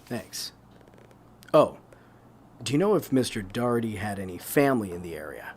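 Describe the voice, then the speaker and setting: A man's voice: lowish voice, big pitch swings, moderate pace, slight background noise. A man playing a polite, businesslike investigator asking questions in a naturalistic audio drama. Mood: polite, probing.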